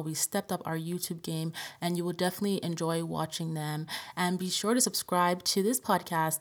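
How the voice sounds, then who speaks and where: Woman hosting a podcast, lowish voice. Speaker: podcast host. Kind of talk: friendly podcast interviewing.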